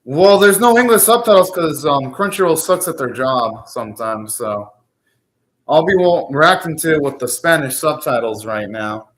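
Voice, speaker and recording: A man's voice: fairly high pitch, animated, moderate pace, fairly bright tone. A young man hosting a live anime reaction, talking casually, ranting and swearing now and then.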